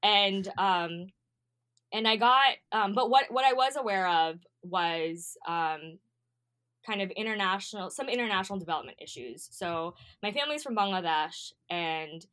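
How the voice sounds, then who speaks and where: A woman's voice: medium pitch, normal speed, sharp tone. A young American woman, a guest on an interview show, answering in a hesitant, filler-heavy way. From United States. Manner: hesitant, many ums and restarts. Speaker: guest, student advocate.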